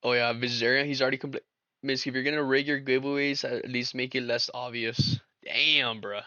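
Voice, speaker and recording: A young man chats loosely with his live-stream audience as he trades, calling them boys and brother in easygoing slang. His voice is bright and forward. The mic sounds close and dry, a little dull. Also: medium pitch, fairly even intonation, moderate pace, no echo. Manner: slangy, laid-back, talks to chat as boys and brother. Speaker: livestream trader and host. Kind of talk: casual live-stream chat while trading.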